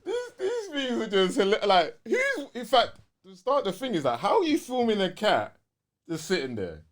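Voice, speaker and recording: A man with a London accent co-hosting a gaming podcast in casual, slangy, animated chat. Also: sits high, moderate pace. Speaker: podcast co-host.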